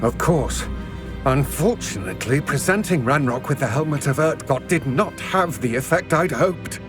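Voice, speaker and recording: A man's voice: a little high, animated, moderate pace, lightly acted. An actor playing a stern, wary male goblin in a fantasy game, speaking formally and measuredly. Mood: grave, explanatory. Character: grave, wary adult male goblin, mistrustful of wizards. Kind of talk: fantasy video game dialogue. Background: background music.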